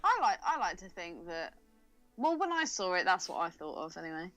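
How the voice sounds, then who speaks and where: A woman's voice: lowish voice, very animated, brisk, fairly bright tone, soft background music. A woman co-hosting a podcast in a playful, teasing, casual way. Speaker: podcast co-host. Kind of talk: casual chat.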